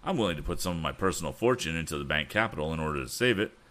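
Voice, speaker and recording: A man's voice: a bass voice, expressive, very fast, fairly bright tone. A man voicing an earnest older inventor, reading a storybook aloud.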